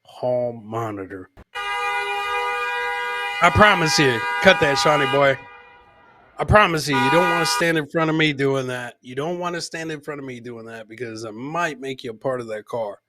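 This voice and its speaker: A man's voice: medium pitch, moderate pace. A man hosting a live show, chatting casually and informally as he reacts to clips and often repeating his own lines. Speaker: podcast host and stand-up comedian.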